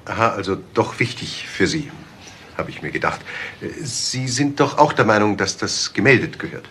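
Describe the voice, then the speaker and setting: Officious, animated father. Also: lowish voice, moderate pace, slight background noise. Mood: self-satisfied. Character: eager, self-important father acting as witness. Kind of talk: German radio crime drama acting.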